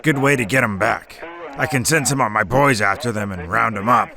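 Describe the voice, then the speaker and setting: A man playing a shifty, nervy 1940s gangster henchman in a noir audio drama, talking slangy underworld talk in a gravelly voice. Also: medium pitch, fairly quick, crowd noise, close to an everyday voice. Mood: nervy, deferential. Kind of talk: noir radio drama phone conversation.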